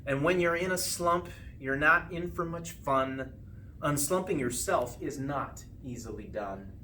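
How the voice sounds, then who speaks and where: Man, fairly high voice, storybook read-aloud, expressive sing-song delivery, stretched line endings, distant recording, faint noise. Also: a little slow. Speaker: school principal reading a storybook.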